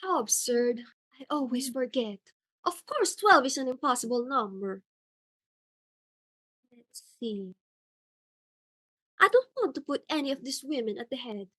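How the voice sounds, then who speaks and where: A haughty society matron played by a woman in a stage comedy. Her voice sits high and has a bright, forceful edge. She frets and commands with melodramatic exclamations. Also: lively intonation, a little slow, pauses often, exaggerated voice. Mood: fretful. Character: haughty, fretful society matron hosting a dinner party. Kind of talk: theatrical stage comedy acting.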